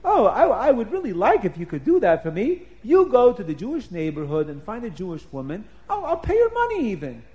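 A man's voice: high voice, very animated, moderate pace, highs cut off. A man voicing a kind, naive young princess who chatters and pleads in a raised voice. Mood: delighted.

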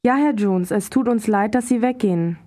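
A woman speaking carefully in a language course, modeling phrases slowly and clearly for learners. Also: on the high side, mellow. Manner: slow, careful model pronunciation. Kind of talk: scripted instructional dialogue.

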